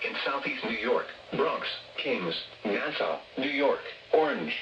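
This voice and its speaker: A synthetic male announcer reading a scripted public service message in a formal, measured, impersonal way on weather radio. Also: medium pitch, expressive, highs cut off. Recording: roomy, noisy weather radio broadcast captured from a distance.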